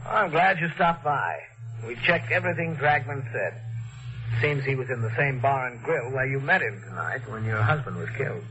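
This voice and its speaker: An actor playing a polite, skeptical police detective in 1940s radio mystery style, measured and patient. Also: male voice, medium pitch, lively intonation, lightly acted. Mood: patient, doubtful. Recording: muffled old radio recording, music behind.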